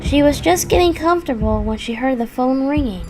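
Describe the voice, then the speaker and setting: A woman's voice: sits high, expressive, a little fast. A woman reading a scripted horror story as its narrator. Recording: clearly audible background noise.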